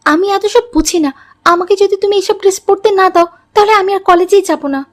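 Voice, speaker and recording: Woman voicing a very high-pitched earnest teenage girl. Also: fast. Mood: defiant. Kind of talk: moral-tale cartoon dubbing.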